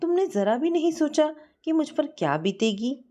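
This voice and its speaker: A woman's voice: high voice, big pitch swings, moderate pace, mellow, pitched above the performer's own voice. A woman voicing an anxious, pleading young woman in love in an audio storybook. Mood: hurt, angry.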